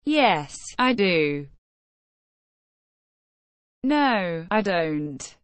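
A woman reading short English phrases for learners, slow and careful, evenly spaced. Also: on the low side, big pitch swings, dry recording.

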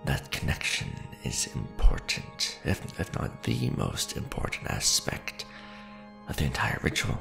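A man voicing an ancient vampire lord in an ASMR roleplay, courtly and devoted yet ruthlessly ambitious, speaking formally and grandly in deliberate phrases with a gravelly voice. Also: very animated, over music, heavily acted. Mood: pensive. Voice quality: deep, gravelly.

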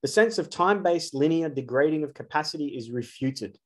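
A man's voice: on the high side, lively intonation, fairly quick. Earnest man in his forties. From Australia. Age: 40s. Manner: earnest, emphatic, rhetorical questions. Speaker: astrologer. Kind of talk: solo spiritual talk to camera.

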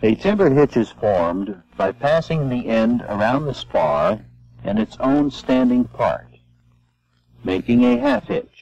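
Gravelly man narrating an old training film. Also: mid-range voice, very animated, darkish tone. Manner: measured, step-by-step instruction. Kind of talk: scripted narration. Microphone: voice-over. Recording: dull lo-fi old film soundtrack.

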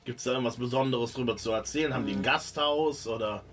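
A man with a mid-pitched voice plays a tabletop role-playing game. He talks casually in character, sitting some way from the mic with faint music behind him. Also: fast talker, fairly bright tone, far from the mic.

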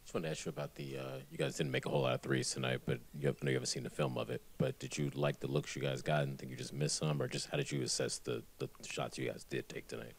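Gravelly, very deep reporter man. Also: fast, few pauses. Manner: long rambling questions. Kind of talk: press conference question.

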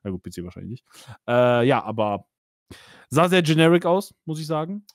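A man's voice: medium pitch, big pitch swings, fairly quick, no room sound, studio quality. A man hosting a casual podcast about games, chatty and full of fillers, laughing now and then. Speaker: podcast host. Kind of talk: casual gaming podcast chat.

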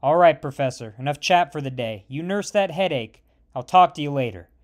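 A man's voice: a little high, animated, fairly quick, pitched below the performer's own voice, close to an everyday voice. A man doing a friendly, caring scientist.

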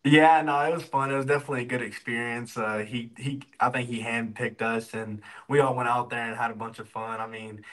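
A man's voice: mid-range voice, fairly even intonation, fairly quick, hardly any pauses, fairly bright tone. A young man from Texas, a college football player, answers interview questions over a video call in an easygoing, upbeat way with lots of fillers. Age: young adult. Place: indoor room, video call.

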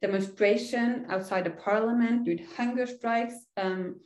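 A woman's voice: a little high, unhurried, a little mellow. Woman, Norwegian accent, middle-aged, online lecture, many fillers, echoey room. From Norway. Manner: earnest, explanatory, frequent "uh" fillers. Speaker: university lecturer. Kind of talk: recorded online lecture over slides. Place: small indoor room.

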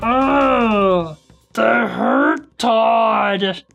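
A woman's voice: a little high, extremely slow, creaky, a bit bright, highs cut off, faint music behind, heavily acted. A woman voicing an angry, dim-witted male monster in a game roleplay, roaring in clipped broken speech. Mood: pained, angry.

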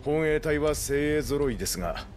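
A man's voice: fairly high pitch, expressive, normal speed, darkish tone, faint music behind, natural voice. A man playing a loyal resistance knight in a Japanese video game, speaking formally with deference and earnest conviction. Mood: businesslike.

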